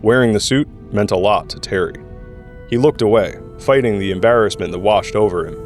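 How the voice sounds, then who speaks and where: A man reading scripted narration for an audio drama. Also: fairly low pitch. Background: background music.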